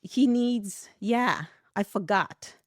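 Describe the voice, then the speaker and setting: A middle-aged woman from West Africa, a podcast guest in a reflective conversation, telling animated stories, laughing often and acting out the voices she quotes. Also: mid-range voice, slow pace, dry recording, clean studio sound.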